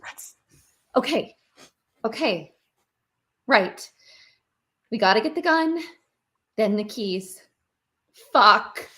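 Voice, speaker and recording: Woman acting a slow-spoken, scared camp counselor. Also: fairly high pitch, expressive, bright, forceful tone, full character voice. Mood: panicky, resigned. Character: panicky young female camp counselor, stubborn and scared. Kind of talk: live table read of slasher script.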